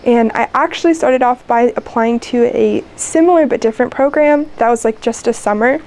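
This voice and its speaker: A woman's voice: on the high side, moderate pace, darkish tone. A young American woman in her twenties, answering interview questions in a casual, hesitant way, with lots of ums and likes. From United States. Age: 20s. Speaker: interview guest.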